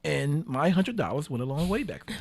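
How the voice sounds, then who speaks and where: A man's voice: a little high, sing-song, a little fast, gravelly. A man speaking as a podcast guest, telling crude, joking stories in casual conversation. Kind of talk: casual bawdy storytelling.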